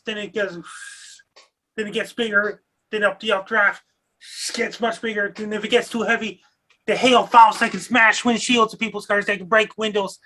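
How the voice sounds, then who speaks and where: A man giving simple explanations of weather on a talk show, in halting, repetitive, earnest phrases. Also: high voice, sharp tone.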